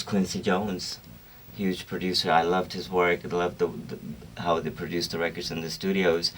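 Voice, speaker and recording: Deep-voiced man, rambling and relaxed. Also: far from the mic. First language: Urdu. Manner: rambling, self-correcting, laughs easily. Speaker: guest singer-songwriter. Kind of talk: radio interview conversation.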